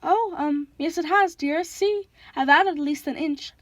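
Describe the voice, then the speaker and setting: A woman voicing a nervous male creature who poses as a coy bride in a simpering, placating falsetto for an audiobook. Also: a little slow, dry recording.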